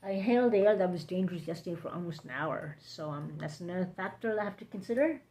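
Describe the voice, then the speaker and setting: A woman with a hoarse, scratchy throat, hosting a rambling late-night livestream chat from home, halting and drifting between topics. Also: lowish voice, animated, dark tone. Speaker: livestream host.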